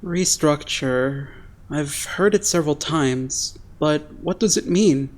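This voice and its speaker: A man's voice: fairly high pitch, takes it slowly. A man voicing an earnest, naive teenage boy idol in an anime game fandub, frank and guileless. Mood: innocent curiosity.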